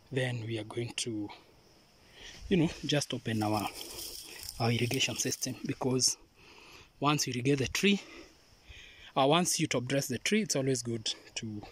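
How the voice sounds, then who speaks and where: A Kenyan man, a native Swahili speaker, talks a listener through a farm task outdoors, pausing often and drifting from the mic as he works with his hands. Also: medium pitch, a bit bright, husky. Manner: unhurried, hesitant with many pauses. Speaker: avocado farmer. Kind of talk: hands-on farming demonstration.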